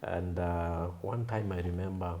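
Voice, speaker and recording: Man in his thirties, very low voice, mellow tone, Swahili native, pausing often. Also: fairly even intonation, a little hiss or hum. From Kenya. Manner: unhurried, thoughtful, frequent "uh" pauses. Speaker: guest, film and creative artist. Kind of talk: reflective interview storytelling.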